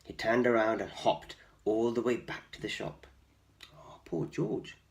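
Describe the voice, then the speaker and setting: A man's voice: fairly low pitch, expressive, a little slow, creaky. A man hosting storytime, reading aloud expressively for young children and explaining with questions. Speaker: storytime host and reader.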